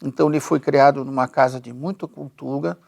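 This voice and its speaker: A man's voice: medium pitch, fairly even intonation, fairly quick, creaky, darkish tone. A Brazilian man interviewing a guest on television in a polite, formal way.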